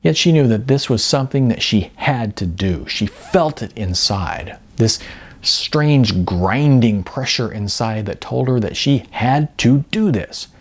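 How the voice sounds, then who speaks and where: Animated, creaky-voiced man reading aloud. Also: medium pitch, unhurried. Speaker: author reading own novel. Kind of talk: audiobook narration.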